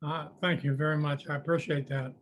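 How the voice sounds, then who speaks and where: A man's voice: on the high side, level tone, fairly quick. A man giving a brief, informal report as a club librarian on a video call.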